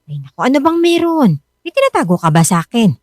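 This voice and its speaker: A Filipino actress playing a worried, suspicious wife who nags and scolds in a Tagalog radio drama. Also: female voice, sits high, sing-song, quick pace, no echo, studio quality. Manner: insistent, scolding.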